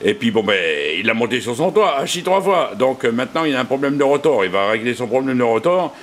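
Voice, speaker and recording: A man's voice: medium pitch, expressive, fast talker. A man working as an amateur radio operator, chatting casually and colloquially, with plenty of radio jargon. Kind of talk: casual radio contact chat.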